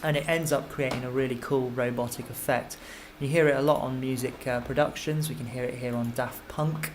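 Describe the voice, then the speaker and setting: Man, music technology teacher, informal tutorial, step-by-step explanation, mid-pitched, noisy computer microphone. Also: fairly even intonation, brisk. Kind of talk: software tutorial narration.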